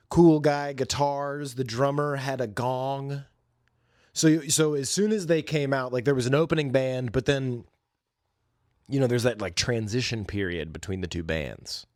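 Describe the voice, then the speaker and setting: A man's voice: mid-range voice, measured pace, no room sound, clean studio sound. A man hosting a solo podcast, talking in a casual, rambling, slangy and conversational way. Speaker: podcast host.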